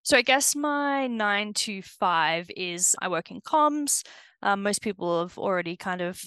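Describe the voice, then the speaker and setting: A woman's voice: mid-range voice, lively intonation, unhurried, fairly bright tone, clean tone, no echo. A young woman with an Australian accent, chatting casually as a podcast guest and laughing often. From New South Wales, Australia. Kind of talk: casual interview chat.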